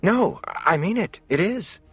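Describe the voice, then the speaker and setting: Man putting on an earnest young woman, pitched up. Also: lively intonation, measured pace, a bit bright, breathy edge, no room sound, faint music behind, full character voice. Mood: nervous, eager. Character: earnest young village woman, bold but nervous.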